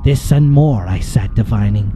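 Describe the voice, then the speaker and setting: A man giving a theatrical, dramatic recitation of a poem, often dropping into hushed whispers. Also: lowish voice, normal speed. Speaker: narrator performing a poem. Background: music.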